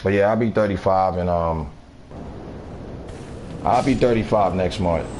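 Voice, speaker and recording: Laid-back low-voiced man in his thirties. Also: a little slow, darkish tone, slight background noise. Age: 30s. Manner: laid-back and chatty, answering viewers and laughing often. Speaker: gaming streamer. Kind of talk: casual live-stream chat while gaming.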